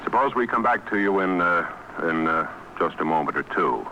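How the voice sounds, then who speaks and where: Newsman on old live radio, lowish voice with a punchy edge, keeps his cool when the links die. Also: animated, normal speed, pushed and bright, lo-fi sound, slight background noise. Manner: composed, stalling through failed links. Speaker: radio news anchor. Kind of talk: live radio news bulletin.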